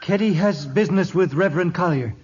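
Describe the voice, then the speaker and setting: An actor playing a troubled, solemn African villager with stilted, broken phrasing, in 1950s radio drama style. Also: male voice, sits high, fairly quick, darkish tone, close to an everyday voice. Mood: tense, curt. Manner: stilted, broken phrasing, third-person self-reference. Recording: noisy, muffled old radio recording.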